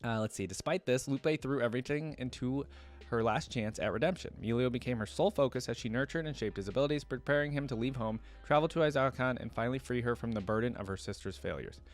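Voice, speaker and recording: A man's voice: medium pitch, fast, soft background music. A man hosting a reaction video, reading story text aloud and commenting in an informal, rambling, conversational way. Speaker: YouTube reaction video host.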